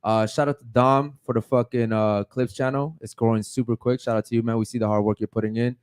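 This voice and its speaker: Young man, podcast host, mid-pitched, casual hype, dry close sound. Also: fairly even intonation, fast talker, clean tone, dry recording. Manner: loose, hype shout-outs, fillers and casual swearing. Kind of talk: casual live podcast chat. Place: podcast studio with a panel of guests.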